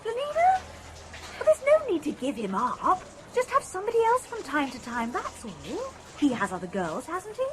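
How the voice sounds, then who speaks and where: A prim gossipy girl nagging her friend, high and swoopy. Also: wildly swooping pitch, normal speed, loud music behind the voice, full character voice. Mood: gossipy scolding. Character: gossipy conventional young woman lecturing her friend.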